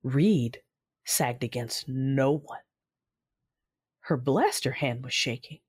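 A woman narrating a fan audio drama in the first person, her delivery soft and often hushed. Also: extremely low pitch, animated, slow pace, slightly rough. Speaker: writer, director and narrator.

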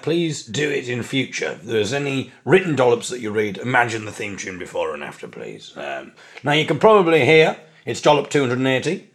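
Tired guy rambling deadpan, bit raspy, mid-pitched voice, mocks himself. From England. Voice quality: slightly raspy from no sleep. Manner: deadpan, rambling, self-mocking. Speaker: podcast host. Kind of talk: comic monologue podcast.

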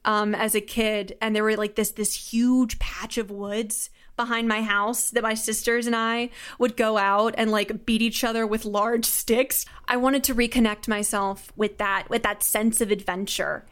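A woman's voice: a little high, level tone, a little slow, hardly any pauses, fairly bright tone, smooth. A young woman speaking conversationally in an interview as a writer and creator. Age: young adult. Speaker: audio drama writer and creator.

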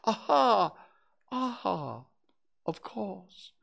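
A storyteller voicing a slow, humble, friendly but dim bear in a children's storybook, with a hesitant, earnest manner. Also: mid-range voice, very animated, vocal fry, a bit bright, voice pushed up, full character voice. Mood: puzzled, earnest.

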